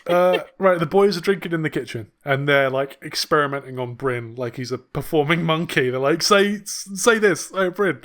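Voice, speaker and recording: A man's voice: a little high, lively intonation, moderate pace, hardly any pauses, a bit bright. A young man co-hosting a podcast, recapping a sitcom in loose, rambling casual chat, swearing and laughing often. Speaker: podcast co-host.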